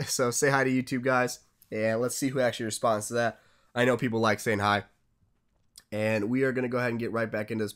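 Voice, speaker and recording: A young man with a stuffed-up, congested voice talks through his strategy game replays at a middle pitch. He keeps it casual and chatty, dropping tips and upbeat asides as a channel host. It sounds like a home desk-mic voice-over. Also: a bit bright.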